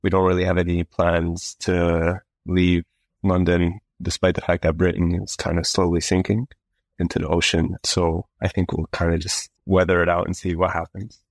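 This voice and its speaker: A man in his thirties, born in Canada, answering interview questions as a psychologist in a thoughtful, measured way with some fillers. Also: deep voice, little pitch movement, no room sound. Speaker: guest psychologist.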